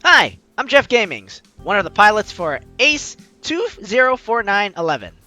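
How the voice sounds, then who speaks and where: A man playing a game and reading its dialogue aloud, animated with joking asides. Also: sits high, normal speed. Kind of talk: let's play narration. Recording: game music behind.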